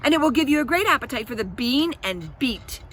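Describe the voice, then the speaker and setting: A woman's voice: high voice, sing-song, fairly quick, a bit bright, a little hiss or hum, pitched above the performer's own voice. Woman acting out a bossy, unflappable babysitter. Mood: firm, smug. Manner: firm, commanding. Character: stern, bossy, unflappable female babysitter, a trained professional.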